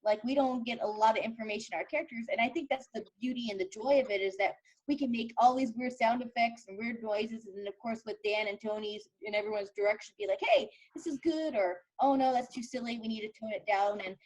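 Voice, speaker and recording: A woman's voice: fairly high pitch, a little fast, a little mellow, low-quality recording. A woman speaking as a volunteer voice actor, chatting casually on a panel. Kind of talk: casual chat.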